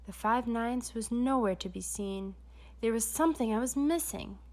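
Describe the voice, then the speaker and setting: A woman's voice: fairly high pitch, moderate pace, darkish tone. A woman narrating a children's story aloud, with dramatic storytelling emphasis. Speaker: storybook reader.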